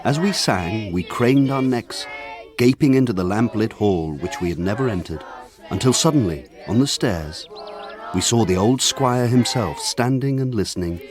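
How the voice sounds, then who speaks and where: An actor playing a reflective narrator who recalls village childhood, telling it in measured literary narration for a radio drama. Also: male voice, fairly low pitch, animated, music in the background, close to an everyday voice. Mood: reminiscent. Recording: clearly noisy background.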